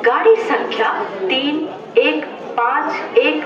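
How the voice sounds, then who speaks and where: A woman's voice: high-pitched, slow pace. Echoey, distant woman announcing trains. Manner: formal, stitched phrase-by-phrase delivery. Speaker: railway station announcer. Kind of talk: scripted public train announcement, repeated in three languages. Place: railway station public address speakers. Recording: echoey station PA from afar, muffled, crowd.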